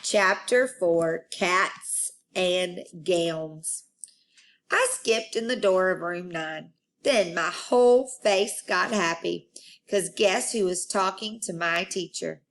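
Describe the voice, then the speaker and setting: A woman storyteller reading a children's book aloud in an expressive style. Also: lowish voice, slow pace.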